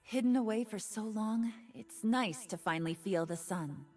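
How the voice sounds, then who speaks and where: A woman's voice: mid-range voice, moderate pace, darkish tone, soft background music, natural voice. An actress voicing a playful, confident female fox spirit pop star in video game voice lines, with a poised, teasing delivery. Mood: hopeful, resolute.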